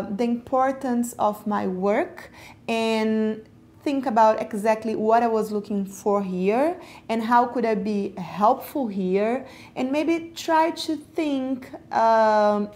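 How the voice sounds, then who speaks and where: Slow, reflective woman from Brazil. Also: a little high, darkish tone. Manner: reflective, searching for words with many fillers. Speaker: interviewee. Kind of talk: personal interview.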